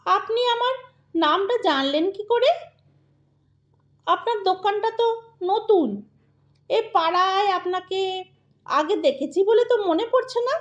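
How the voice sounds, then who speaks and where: A woman's voice: very high voice, lively intonation, a little slow, pauses often, dull audio, pitched above the performer's own voice. A woman voicing a practical, curious young woman, a daughter in an audio story. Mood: puzzled. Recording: echoey, reverberant voice track.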